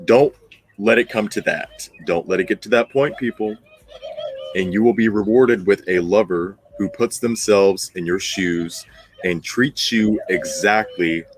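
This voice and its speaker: Deep voiced guy doing astrology commentary, blunt n emphatic, some noise and birds in the background. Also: rough recording. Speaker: host of an astrology commentary channel. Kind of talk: astrology commentary, part read, part ad-libbed.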